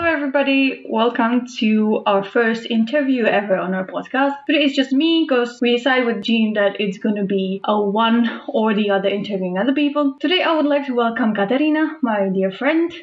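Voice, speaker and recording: A woman's voice: fairly high pitch, a little fast, few pauses. A woman with a Czech accent hosting a casual podcast interview. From Czech Republic. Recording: muffled, echoey room sound.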